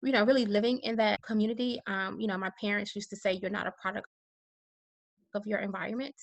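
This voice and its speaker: A woman from with a mid-range voice that drops into gravelly fry. She talks in a relaxed, rambling way with lots of fillers over a video call. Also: medium pitch, fast talker. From Chicago, USA. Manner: chatty, many fillers, laughs easily. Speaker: guest, educator.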